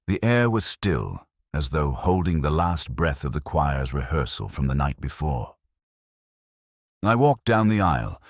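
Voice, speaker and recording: Very deep-voiced man narrating a detective story. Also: dry recording, studio quality. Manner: measured, literary, reflective, sombre. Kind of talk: first-person scripted detective story narration.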